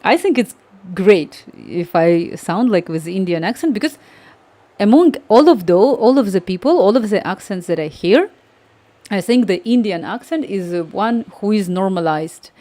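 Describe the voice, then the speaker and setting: Softly mellow woman coding live. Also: mid-range voice, expressive, moderate pace. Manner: thinking aloud, reading chat, many restarts. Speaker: coding streamer. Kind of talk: live-stream coding chat.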